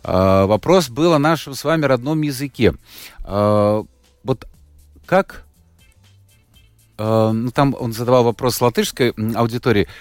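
A man's voice: mid-range voice, unhurried, pauses often, no echo, faint music behind. A male radio host interviewing a guest, reading out a listener's message and pressing with follow-up questions. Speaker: radio talk show host.

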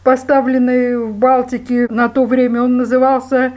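A woman's voice: sits high, little pitch movement, moderate pace, a little mellow. A woman interviewer speaking conversationally, putting questions to a guest.

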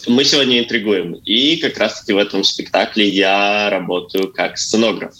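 Bright-voiced man answering interview questions. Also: lowish voice, moderate pace, low-quality recording. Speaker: actor and stage designer, interview guest.

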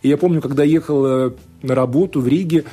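Male radio guest from Latvia, academic and politician, mellow husky voice, hesitant, fillers. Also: fairly high pitch, quick pace, faint music behind. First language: Russian. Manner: thoughtful, hesitant, many fillers and restarts. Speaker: guest, law scholar and politician. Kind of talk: radio interview answers.

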